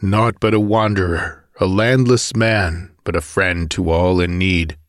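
A man voicing a somber, gentle wandering swordsman with a soft, grave murmur, in an audiobook adventure. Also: low-pitched, slow pace, dry recording, studio quality, exaggerated voice. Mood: gentle concern.

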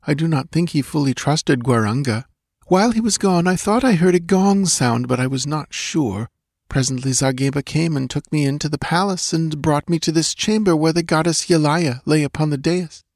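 A man's voice: fairly high pitch, normal speed, no echo, studio quality, pitched above the performer's own voice. A man voicing a terrified young dancing girl in an audiobook, with a whimpering, pleading, breath-catching delivery. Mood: fearful confessing. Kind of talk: dramatised audiobook character voice.

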